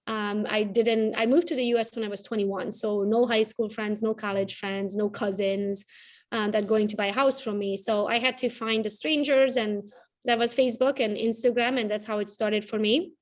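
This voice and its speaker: A woman in her forties from Romania with a Romanian accent, chatting as an interview guest, laughing often and tagging her points with "right?". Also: fairly high pitch. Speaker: guest, realtor and coach. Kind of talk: casual interview chat.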